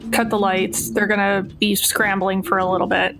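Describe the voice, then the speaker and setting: A woman's voice: mid-range voice, little pitch movement, normal speed, natural voice, low-quality recording. A woman voicing a cool professional thief in a tabletop game, with a dry, laconic manner. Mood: dry, unfazed. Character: cool, wry female professional thief for hire.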